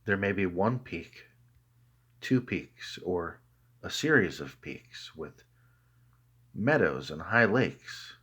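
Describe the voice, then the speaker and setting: A man narrating a guided meditation in a calm, measured way, with deliberate pauses between phrases. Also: low-pitched, slightly rough.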